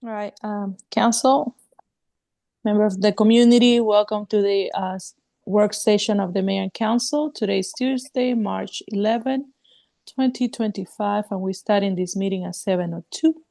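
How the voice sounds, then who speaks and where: A woman with a sore throat chairing a council meeting as mayor over a video call, speaking haltingly with fillers and restarts. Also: mid-range voice, gravelly, dark tone, no echo.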